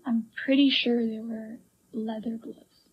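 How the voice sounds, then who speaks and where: A woman giving hesitant, halting answers as a witness in a police interview, heard on an archival recording. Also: fairly high pitch, steady tone, slightly rough, dull audio.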